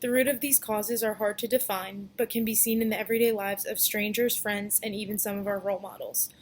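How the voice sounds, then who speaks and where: A young woman, a student, reading a scripted narration clearly. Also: mid-range voice, steady tone, fairly quick, a little hiss or hum. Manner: clear, read-aloud delivery.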